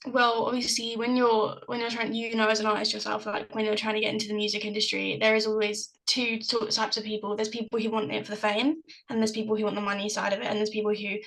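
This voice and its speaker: Giggly young South London woman, gravelly. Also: medium pitch, completely flat delivery, quick pace, few pauses, a bit bright, low-quality recording. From South London, England. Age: young adult. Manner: chatty, giggly, lots of "like" fillers. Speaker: singer-songwriter guest. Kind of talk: casual interview chat. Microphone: video call.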